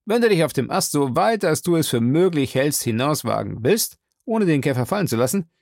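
A reader voicing an excitable, obsessive man who calls out commands in an urgent voice. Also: mid-range voice, fairly quick, gravelly, no room sound, clean studio sound. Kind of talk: audiobook character voice.

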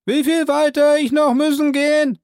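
A reader voicing a frightened male servant who shouts down from a tree in broken, pleading speech. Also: high-pitched, level tone, normal speed, no echo, studio quality, voice pushed up. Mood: eager. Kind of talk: audiobook character voice.

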